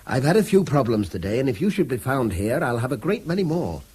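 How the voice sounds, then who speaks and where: An actor playing a tense, principled schoolteacher in a radio drama, with a very husky, voice and a formal, uneasy manner. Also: male voice, medium pitch, big pitch swings, fast talker, a little mellow. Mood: uneasy, practical. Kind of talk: naturalistic radio drama acting.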